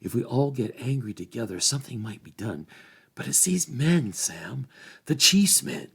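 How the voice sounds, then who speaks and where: A man's voice: mid-range voice, gravelly, slightly rough, voice pushed up, full character voice. A man voicing a reluctant small hobbit lawman with rustic phrasing and a pleading, confiding manner in a storybook reading. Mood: uneasy, confiding.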